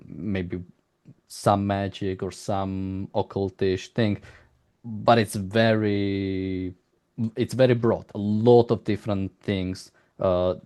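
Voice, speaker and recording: A man conducting a casual interview, asking long, rambling questions in a halting way. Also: low-pitched, a little mellow.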